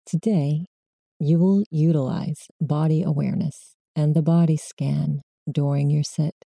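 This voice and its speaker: A woman guides a meditation in a low, very mellow and soft-edged voice. She gives gentle, measured instructions in the manner of a mindfulness teacher. The sound is close and dry, with no room around it. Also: fairly even intonation, creaky, no echo.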